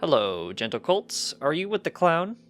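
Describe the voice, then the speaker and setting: A man voicing an excitable, protective father pony in a storybook, with a blustery, emphatic manner. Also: fairly high pitch, normal speed, faint music behind, pitched above the performer's own voice. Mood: friendly, puzzled.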